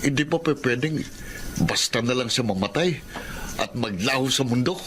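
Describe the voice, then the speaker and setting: A man's voice: medium pitch, animated, moderate pace, natural voice. A man playing a bitter, resentful son. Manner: bitter, brooding.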